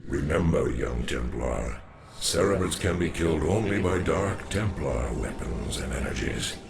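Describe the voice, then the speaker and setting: An actor playing a grave old alien warrior mentor in a video game, with a gravelly voice and formal, solemn delivery. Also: male voice, lively intonation, measured pace, heavily acted. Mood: stern, advising. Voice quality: very deep, gravelly. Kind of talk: scripted video game dialogue. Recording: very loud music behind.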